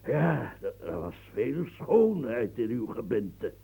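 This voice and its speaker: Creaky husky old lecher of a nobleman, rambling and chuckling, darkish tone. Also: medium pitch, sing-song, slow pace, mellow, heavily acted. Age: older. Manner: rambling, chuckling, coughing, stammering. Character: aging boastful womanizing old male nobleman. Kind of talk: radio play acting.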